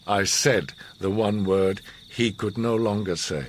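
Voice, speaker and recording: An actor playing a grave, commanding, enigmatic older war survivor in a radio drama, speaking in a measured, solemn, philosophical way. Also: male voice, fairly low pitch, a little hiss or hum, close to an everyday voice. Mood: impassioned. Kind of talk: radio drama monologue and dialogue.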